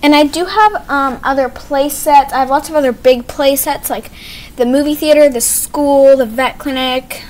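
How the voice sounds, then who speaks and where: A woman's voice: high-pitched, normal speed, a little mellow. A young female vlogger chatting casually in a rambling, self-correcting way as she hosts a home tour video. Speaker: host of a doll video channel. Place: small home playroom, indoors. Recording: noticeable background noise, amateur camera audio.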